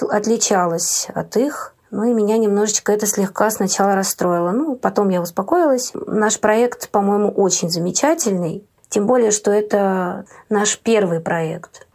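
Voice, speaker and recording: Mid-pitched woman reflecting, somewhat hesitant. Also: a little mellow. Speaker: amateur audio-play participant. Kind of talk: reflective interview account.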